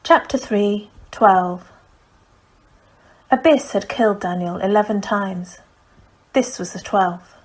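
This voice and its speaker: A woman narrates fiction as an audiobook reader, with a medium-pitched voice. She delivers prose from the page in a scripted storytelling style. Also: slow pace, pauses often, darkish tone, poor audio.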